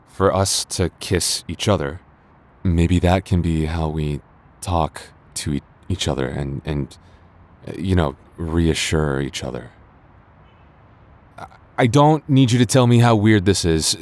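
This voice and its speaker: Deep-voiced, bashful teasing boyfriend, acted by a man. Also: darkish tone. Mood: tender, hesitant, then embarrassed, sincere. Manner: hesitant, stammering, bantering. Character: teasing, embarrassed young male childhood friend confessing love. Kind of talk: boyfriend roleplay audio drama.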